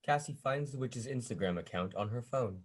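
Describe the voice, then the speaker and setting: A man's voice: medium pitch, expressive, a little fast, husky. A man reading scene descriptions and stage directions as narrator of a scripted table read.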